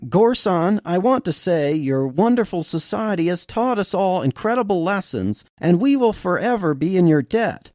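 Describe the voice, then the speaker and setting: A man voicing a smooth, deferential economist in an audio story, speaking courteously and carefully. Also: high voice, sing-song, moderate pace, hardly any pauses, darkish tone, dry recording, studio quality.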